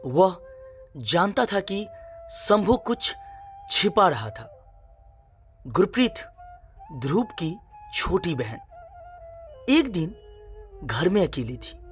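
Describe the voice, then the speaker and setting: A woman tells a dramatic suspense story in a fairly low voice. Her pitch moves widely while she goes slowly, phrase by phrase, through the scripted narration. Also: huge pitch swings, soft background music.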